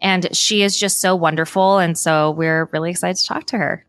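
A close, dry recording of a young woman with a slightly low voice. She hosts a podcast, chatting casually. Her delivery is enthusiastic and effusive. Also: steady tone, moderate pace, a bit bright, dry recording. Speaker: podcast host. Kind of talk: casual chat.

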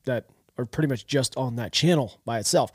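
A man's voice: medium pitch, a little fast, breathy edge, no room sound, clean studio sound. A man hosting a podcast, sounding nasal and stuffed up from allergies, talking through the news in a rambling, opinionated way with many restarts. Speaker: podcast host. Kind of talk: casual political commentary.

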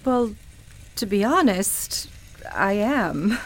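Woman playing a slow-paced, bemused city woman. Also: mid-range voice, animated. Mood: flustered. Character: skeptical, polite, bemused city woman walking her dog. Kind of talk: naturalistic radio play acting.

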